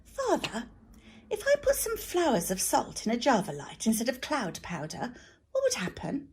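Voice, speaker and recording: A woman's voice: fairly high pitch, wildly swooping pitch, moderate pace, darkish tone, slightly rough, pitched above the performer's own voice, exaggerated voice. A woman voicing an eager, curious young girl, an apprentice in a storybook reading. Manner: eager, questioning.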